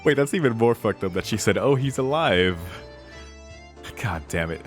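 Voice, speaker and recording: A very low-voiced man streams a visual novel, switching between reading the text and informal reactions. He swears freely and sounds invested in the story, with faint music behind him. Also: expressive, moderate pace. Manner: foul-mouthed, informal, emotionally invested. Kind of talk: casual let's play commentary mixed with reading game text aloud.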